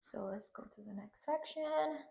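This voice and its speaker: A woman's voice: high-pitched, moderate pace, vocal fry, mellow, low-quality recording. A woman in her thirties from Puerto Rico, a Spanish speaker with a Puerto Rican accent, teaching a hairstyling tutorial and checking in often with "okay?". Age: 30s. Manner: instructive, checks in with frequent "okay?". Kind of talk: hands-on tutorial demonstration.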